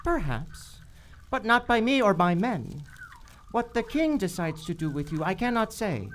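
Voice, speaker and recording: A woman's voice: mid-range voice, very animated. Woman playing a solemn, menacing island captor. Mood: solemn, cryptic. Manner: measured, formal, cryptic. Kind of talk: live radio theater acting. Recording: live stage recording, faint background noise.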